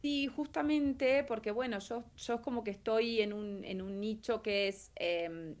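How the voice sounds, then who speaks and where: A middle-aged woman from Argentina with an Argentine accent, speaking casually as an interview guest and explaining her work, pausing mid-thought as she goes. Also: on the high side. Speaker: interview guest, actress and translator. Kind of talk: casual interview, telling career story.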